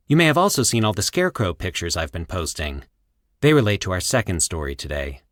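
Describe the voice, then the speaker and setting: Male podcast host, low pitch, lively intonation, close dry sound, scripted story introductions. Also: no room sound.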